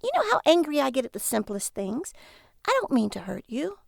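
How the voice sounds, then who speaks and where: Brief: impulsive hot-tempered wife, sharp then sugary, lively high pitch, read by a woman. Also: fairly quick, creaky, no echo, studio quality, full character voice. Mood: apologetic. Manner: sharp, mood swings from snappy to sweet.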